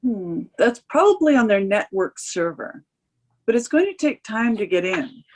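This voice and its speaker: A woman's voice: mid-range voice, big pitch swings, normal speed, a little hiss or hum, lightly acted. A woman acting as a dry, sardonic tech office worker in a screenplay table read. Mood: curious. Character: wry, sardonic female tech office worker helping a friend.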